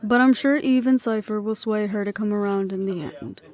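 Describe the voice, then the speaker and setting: A woman playing a composed, dutiful middle-aged leader, speaking in a measured, reflective way in an audio roleplay. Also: mid-range voice, close to an everyday voice. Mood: fond, proud. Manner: measured, reflective, quietly firm.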